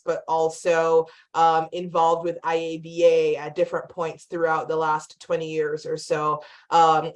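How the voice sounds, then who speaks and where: A woman in her forties from Jamaica with a Jamaican accent, introducing herself as an actuarial vice president on an online career fair panel in a friendly, conversational way. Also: on the low side.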